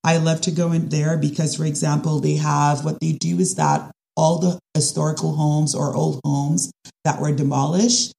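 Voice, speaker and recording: A woman, a design specialist talking through her ideas in a casual interview, often pausing and restarting. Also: low-pitched, level tone, vocal fry, mellow. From Caribbean. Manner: thoughtful, with frequent restarts and fillers. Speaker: design and construction specialist guest.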